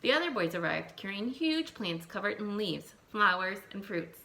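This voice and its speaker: Creaky-voiced woman reading aloud to children. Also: medium pitch, moderate pace. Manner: expressive, thinking aloud with questions to young listeners. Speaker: elementary school teacher. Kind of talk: picture-book read-aloud with asides to children.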